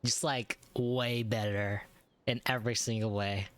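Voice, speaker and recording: Very low-voiced woman gamer, slangy and casual. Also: steady tone, measured pace, slight background noise. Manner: slangy, irreverent. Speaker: gaming streamer. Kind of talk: casual gameplay reaction commentary.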